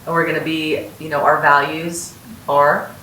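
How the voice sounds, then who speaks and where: Woman, encouraging mentor, low voice, roomy echo, faint hiss, distant mic. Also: little pitch movement, moderate pace, pushed and bright. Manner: upbeat, encouraging, storytelling. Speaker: host and company founder. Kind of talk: casual podcast chat, mentoring students. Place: house in North Las Vegas, room with echo.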